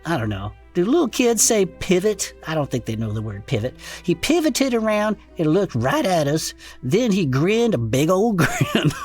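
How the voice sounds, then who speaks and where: A performer playing a folksy, excitable male podcaster in a comedic audio drama, reading strange reports aloud with incredulous asides. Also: mid-range voice, normal speed, soft background music, lightly acted. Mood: amused, incredulous. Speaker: co-host reading case reports.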